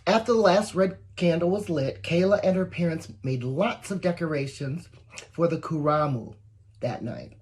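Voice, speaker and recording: A woman reading a picture book aloud to young children, expressive and encouraging, with asides to the listeners. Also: deep voice, normal speed, husky. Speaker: volunteer story reader.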